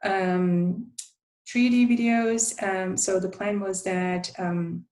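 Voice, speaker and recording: A woman presenting a design guide, a native Turkish speaker who talks in a halting way with many fillers and restarts. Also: medium pitch, fairly even intonation, a little mellow, poor audio. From Turkey. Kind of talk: conference presentation.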